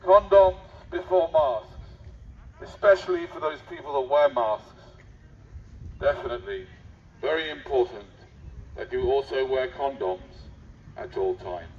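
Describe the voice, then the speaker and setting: A British man giving a mock-serious, provocative public speech through a megaphone in a deadpan manner, addressing passers-by directly. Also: mid-range voice, a little slow, long pauses. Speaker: street speaker with megaphone. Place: outdoor public park. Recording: dull megaphone outdoors, distant, faint noise.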